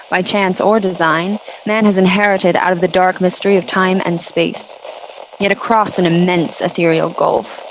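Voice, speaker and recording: A woman's voice: fairly low pitch, a little hiss or hum, lightly acted, low-quality recording. A woman playing a solemn, literary narrator in a scripted radio drama. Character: solemn, literary narrator of an alien invasion tale.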